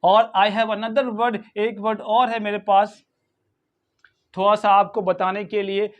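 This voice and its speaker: A man's voice: sits high, little pitch movement, slow pace, phone-quality sound. A man with an Indian accent teaching English pronunciation, spelling out words letter by letter and asking the viewer questions over and over. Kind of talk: slow spoken lesson mixing Hindi and English.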